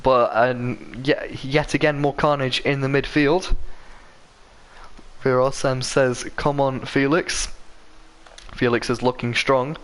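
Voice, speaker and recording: Croaky male sim-racing commentator. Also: medium pitch, fairly even intonation, slow, long pauses, fairly bright tone. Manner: rambling, self-correcting, with many ums and uhs. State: croaky from a sore throat. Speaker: race commentator.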